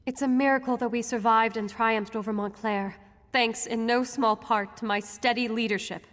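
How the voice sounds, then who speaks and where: Young fantasy game noblewoman, played by an actress: fairly high voice, earnest, formal, poised, in a reverberant hall. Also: fairly even intonation, moderate pace, fairly bright tone, natural voice. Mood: proud, hopeful. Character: poised, earnest young countess seeking the throne. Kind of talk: fantasy video game voice acting.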